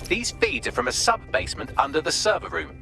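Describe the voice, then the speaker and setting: An actor voicing a witty, smug artificial intelligence assistant in a video game, wry and flippant. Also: male voice, on the high side, expressive, brisk, vocal fry, pushed and bright, faint music behind, exaggerated voice.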